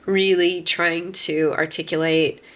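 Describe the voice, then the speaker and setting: A woman in her mid-thirties chatting casually as a podcast host, musing in a halting way and often saying "like". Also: lowish voice, level tone, a bit bright. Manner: halting, filler-heavy musing with frequent "like". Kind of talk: casual conversation.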